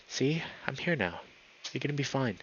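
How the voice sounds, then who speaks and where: A man voicing a burly, protective male wolf in a visual novel, by turns commanding and gently coaxing. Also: lowish voice, animated, moderate pace, vocal fry, husky, dull audio, heavily acted. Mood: gentle, coaxing.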